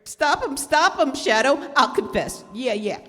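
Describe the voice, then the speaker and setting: Woman as a jittery gangster sidekick, swooping pitch. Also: animated, measured pace, a bit bright. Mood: frightened, pleading. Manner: nervous, pleading, slangy. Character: Marty, jittery cowardly male gangster sidekick. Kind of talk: recreated 1930s radio drama acting. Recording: reverberant hall stage recording.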